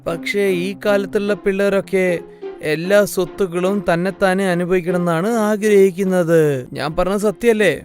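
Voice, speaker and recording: A man playing a gentle, ailing old father earnestly advising his sons in a fairy tale cartoon. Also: high voice, fairly even intonation, moderate pace, lightly acted. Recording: faint music under the voice.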